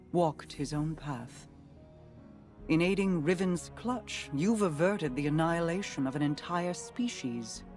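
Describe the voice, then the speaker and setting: A woman playing a regal, composed queen in a video game, speaking in a measured, formal, guarded and grave manner. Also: low-pitched, a little mellow. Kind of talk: scripted video game monologue.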